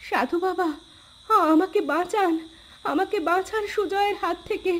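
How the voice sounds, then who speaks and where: Casting: actress as modest, kind-hearted rural wife for cartoon fable, high voice, reverent and earnest, faint background noise. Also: female voice, normal speed. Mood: frightened, pleading. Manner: respectful, earnest. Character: humble, devout, kind young village wife. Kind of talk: Bengali cartoon story voice acting.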